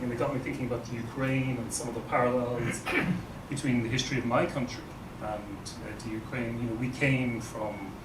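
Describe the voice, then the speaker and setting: A man with an Irish accent, a guest speaker giving a relaxed, wry talk to an audience at an in-person event. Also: medium pitch. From Ireland. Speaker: guest speaker, nonprofit CEO. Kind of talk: informal presentation to an audience. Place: event room. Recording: roomy, distant mic, background noise.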